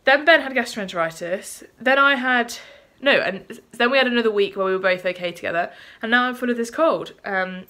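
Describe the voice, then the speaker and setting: A woman's voice: on the high side, a little fast, hardly any pauses, pushed and bright. A British woman in her twenties chatting casually to her phone camera, congested and a bit out of breath from a cold. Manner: chatty, lots of ums. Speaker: vlogger. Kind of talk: casual vlog chat. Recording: phone recording with light background noise.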